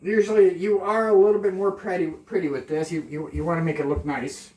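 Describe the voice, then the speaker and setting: A man's voice: high-pitched, fairly even intonation, brisk, darkish tone. Nervous joking man cooking in a kitchen. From Canada. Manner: rambling, self-deprecating, jokey asides. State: nervous on camera. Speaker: home cooking vlogger. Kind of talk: casual cooking chat. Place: small home kitchen, indoors. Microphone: camera a few steps away. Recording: roomy, distant camera mic.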